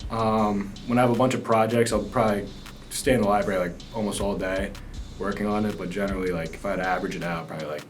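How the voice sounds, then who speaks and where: A twenty-one-year-old man, a student, answering in a laid-back way full of "like"s, often trailing off quietly. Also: on the low side, fairly even intonation, fast, slight background noise. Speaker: student interviewee. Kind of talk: casual street interview.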